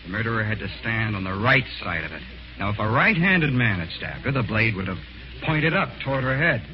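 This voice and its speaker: An actor playing a cool, cunning man avenging his sister, deliberate and commanding, in old-time radio mystery style. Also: fairly low pitch, big pitch swings. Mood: calm, accusing. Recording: noisy, dull vintage radio recording.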